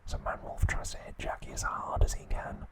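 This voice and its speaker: Guy doing asmr narration, all whispered n breathy, hushed short phrases. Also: fairly quick. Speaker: ASMR host. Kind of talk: whispered ASMR narration, recounting episode summaries.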